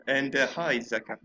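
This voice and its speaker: A man's voice: medium pitch, bright, forceful tone, lo-fi sound. A man hosting a casual podcast chat, often playfully exasperated with his guests. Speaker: podcast host.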